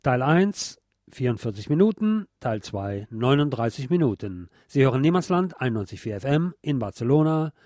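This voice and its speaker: A man's voice: medium pitch, very animated, normal speed, a little mellow, no echo. A man hosting a radio show, presenting informally in a conversational, recommending way on a close microphone.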